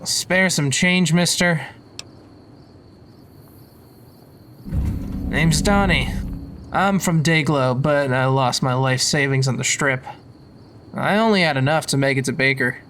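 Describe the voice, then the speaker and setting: A man's voice: fairly high pitch, a bit bright, a little hiss or hum. A man playing a humble, down-on-his-luck drifter and recovering addict in a video game, speaking in a hesitant, confessional way. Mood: pleading, downcast. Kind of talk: video game character dialogue.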